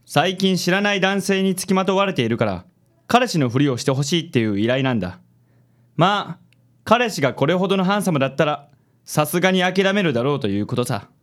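A man playing a suave, vain handsome company president in a Japanese radio comedy, smooth and affected, dropping in English words. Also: fairly high pitch, big pitch swings, fairly quick. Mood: confident. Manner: smooth, self-assured, affected. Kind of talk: Japanese comic radio drama acting.

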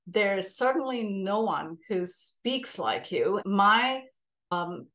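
A woman's voice: mid-range voice, lively intonation, slow, a bit bright. A woman in her forties from India with an accent between Indian and American, telling her story thoughtfully as an interview guest. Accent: mixed Indian and American. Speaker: podcast guest. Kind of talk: reflective interview talk.

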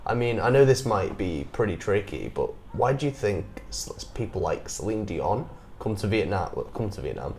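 A man's voice: fairly low pitch, expressive, moderate pace, darkish tone, slight background noise. A British man interviewing a guest in a chatty, curious way, with rambling questions. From Britain. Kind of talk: casual interview chat. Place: living abroad.

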